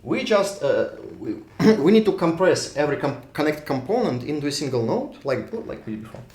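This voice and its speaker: A man's voice: fairly high pitch, big pitch swings, fairly quick, darkish tone. A man from Russia with a Russian accent, giving a university lecture at a whiteboard, thinking aloud with frequent restarts and repeated words. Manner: repetitive restarts, thinks aloud while drawing. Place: lecture hall. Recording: echoey room, voice drifts off mic.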